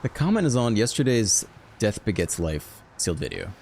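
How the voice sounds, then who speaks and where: A man hosting a card game video, thinking aloud in a relaxed, chatty way as he plays. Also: on the low side, moderate pace, slight background noise. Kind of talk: casual live gameplay commentary.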